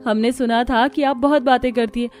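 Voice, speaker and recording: A woman playing a demanding, chatty visiting sister-in-law in an animated family story. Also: a little high, fairly quick, faint music behind, lightly acted. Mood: gossipy. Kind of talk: Hindi animated family-drama story acting.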